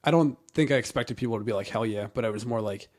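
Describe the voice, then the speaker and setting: A man's voice: mid-range voice, fast, darkish tone. A man who is a guest on a podcast, chatting casually and crudely, with frequent involuntary swear words breaking in.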